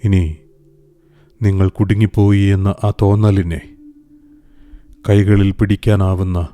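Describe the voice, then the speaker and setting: Deep, slow, mellow man. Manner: calm, soothing, gentle, with deliberate pauses. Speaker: meditation guide. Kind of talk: scripted guided meditation. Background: music.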